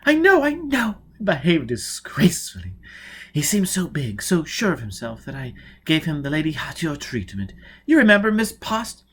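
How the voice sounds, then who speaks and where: A man voicing a sheltered, excitable young upper-class woman in an audiobook, breathless and dramatic. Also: fairly high pitch, normal speed, husky, pitched above the performer's own voice, exaggerated voice. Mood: breathless excitement. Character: sheltered, excitable rich young blind daughter, enthralled by danger.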